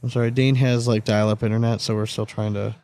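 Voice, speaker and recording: A man's voice: fairly low pitch, little pitch movement, fast, darkish tone, no echo. A man co-hosting a sports podcast in casual chat, candid and loose, swearing freely and laughing between points. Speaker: podcast co-host.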